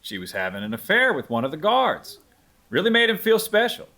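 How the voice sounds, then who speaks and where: A cocky male bounty hunter, acted by a man at a script reading. His voice sits a little high and swings widely in pitch. He phrases things in a folksy, taunting way, full of menace. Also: wildly swooping pitch, brisk, pushed and bright, heavily acted. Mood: sly storytelling. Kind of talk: screenplay table read. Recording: live table read.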